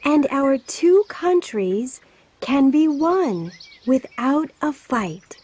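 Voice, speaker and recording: A woman's voice: high voice, very animated, drawn-out words, darkish tone, full character voice. A woman playing a clever, brave young princess in a storybook, with an American accent and a composed, decisive manner. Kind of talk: storybook dialogue.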